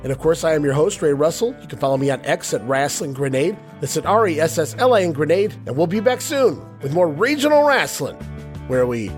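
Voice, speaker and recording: A man hosting a podcast, recapping in casual chat with a hoarse voice. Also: fairly high pitch, lively intonation, a little fast, faint music behind. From northeastern Ohio. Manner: recapping, wraps up the show. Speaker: podcast host.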